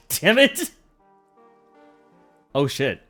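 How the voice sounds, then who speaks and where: A man casually commenting on a game he is streaming, laughing often and poking fun at himself. His pitch swings widely as he talks, with a faint bed of music behind him. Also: very slow. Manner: animated, laughing and self-deprecating.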